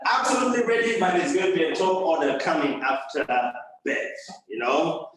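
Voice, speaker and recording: A man's voice: on the high side, big pitch swings, a little fast, fairly bright tone. A man hosts a live event as master of ceremonies, playfully addressing the audience like a showman. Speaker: master of ceremonies at a speech contest. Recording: very echoey room, distant microphone.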